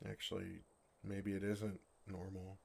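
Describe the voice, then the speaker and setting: A man voicing an ancient, gentle and oblivious dragon in an ASMR roleplay, with a halting, bewildered and earnest delivery. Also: deep voice, monotone, vocal fry, breathy edge, heavily acted. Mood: sheepish, tender.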